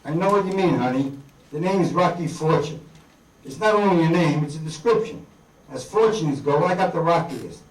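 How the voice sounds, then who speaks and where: Guy doing a wisecracking noir radio hero, kinda higher male voice, slangy and flirty, distant echoey room. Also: normal speed, close to an everyday voice. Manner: wry, slangy. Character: wisecracking hardboiled male detective-drifter, flirtatious, down on his luck. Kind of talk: 1950s radio noir acting, read by an amateur performer. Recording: echoey hall, distant microphone.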